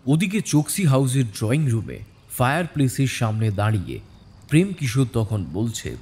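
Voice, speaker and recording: A man's voice: medium pitch, very animated, normal speed, dark tone, slightly rough. A man narrating a scripted detective story in a dramatic storytelling style.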